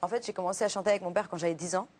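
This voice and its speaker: A woman's voice: lowish voice, steady tone, racing, darkish tone. A woman in her twenties with a Portuguese accent, chatting as a guest on a talk show and hesitating with lots of fillers. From Luxembourg. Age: 20s. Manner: hesitant, many fillers and restarts. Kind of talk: casual interview chat.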